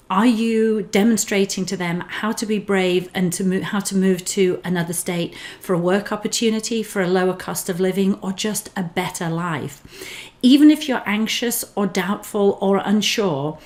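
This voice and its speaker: A woman's voice: fairly low pitch, darkish tone. A woman with an English accent who grew up in northern England, speaking as a relocation specialist in an earnest, encouraging talk to camera. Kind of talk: scripted personal talk to camera.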